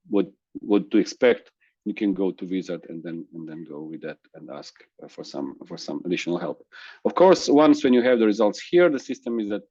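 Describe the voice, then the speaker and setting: A man whose first language is Croatian, presenting a software demo in a webinar, halting with restarts and fillers over a video call. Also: low-pitched, no room sound, highs cut off. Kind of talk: screen-share software demo.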